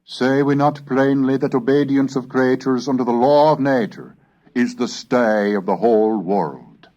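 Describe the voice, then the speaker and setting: A man reading a scripted educational narration in a formal, measured, oratorical manner. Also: mid-range voice, warm tone. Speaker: lecturer and reader. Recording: dull lo-fi cassette recording.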